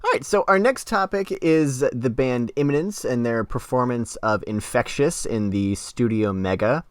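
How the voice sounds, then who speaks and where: A man's voice: medium pitch, expressive, normal speed, clear, resonant tone, no room sound. A man hosting a casual review podcast, talking enthusiastically about what he likes. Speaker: podcast host.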